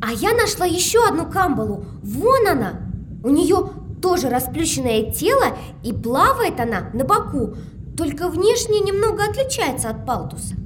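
A woman playing a curious, observant young girl with an inquisitive, expressive delivery in an educational audio drama. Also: very high-pitched, moderate pace, noisy background.

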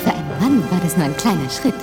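A woman's voice: deep voice, big pitch swings, fast. An actress playing a playful woman in a German radio play. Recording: loud music over the voice.

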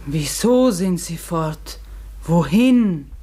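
A caring woman in a radio drama, gently consoling someone. Her delivery is slow and measured, yet the pitch moves expressively up and down. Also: lively intonation, breathy edge, exaggerated voice. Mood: puzzled. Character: tender, concerned human woman consoling a friend. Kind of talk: German radio play acting.

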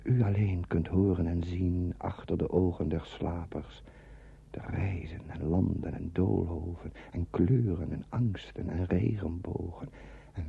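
A poetic radio drama narrator with a low, extremely husky voice. He intones slowly, hushed and incantatory, as if casting a spell over each phrase. The old radio recording is dull. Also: heavily acted. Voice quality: husky, gravelly. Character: hushed poetic male narrator of a sleeping town.

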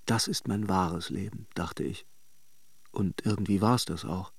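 A melancholic lover in a literary radio drama, reflective and intimate. His low voice has a gravelly creak. He speaks in measured, introspective phrases, tender yet detached. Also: over music. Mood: reflective, wistful. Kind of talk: literary radio drama, introspective first-person narration and dialogue.

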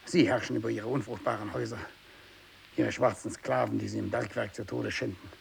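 Tormented, gravelly renegade missionary. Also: male voice, fairly low pitch, fairly quick. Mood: bitter. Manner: brooding, intense, swinging from hushed whispers to anguished cries. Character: tormented fanatical renegade missionary man, bitter, feverishly unravelling. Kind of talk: literary radio drama monologue. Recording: steady tape hiss.

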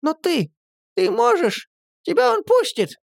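A storyteller voicing a pale, frightened village girl who lisps and chatters fast. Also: extremely high pitch, sing-song, vocal fry, no room sound, clean studio sound, voice pushed up, heavily acted. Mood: eager, pleading. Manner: lisping, mumbling, rapid chatter.

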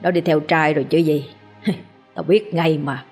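Woman doing a drunk mean husband, low slurry voice, southern vietnamese accent, faint music behind. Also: steady tone, a little slow, a little mellow, slightly rough. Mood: drunk, abusive. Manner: slurred, belligerent. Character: drunken, abusive middle-aged husband, slurring.

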